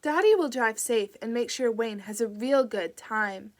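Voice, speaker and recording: A woman's voice: on the high side, lively intonation, a little slow. A woman voicing an eerie little girl who speaks in a sweet, sing-song and menacing way. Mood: sweetly eerie.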